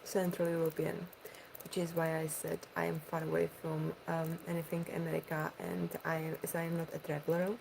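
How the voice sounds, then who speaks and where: Soft-spoken Czech-accented woman. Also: sits low, monotone, a little fast, vocal fry, a little hiss or hum, low-quality recording. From Czech Republic. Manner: quiet, hesitant, drifting into whispers. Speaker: ASMR host. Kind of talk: soft-spoken ASMR chat.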